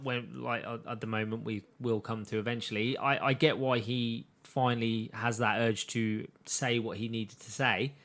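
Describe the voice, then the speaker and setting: A man's voice: medium pitch, fairly even intonation, normal speed, hardly any pauses, a bit bright. A young man co-hosting a podcast, casually and thoughtfully retelling scenes from a show. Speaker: podcast co-host. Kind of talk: casual chat recapping an anime.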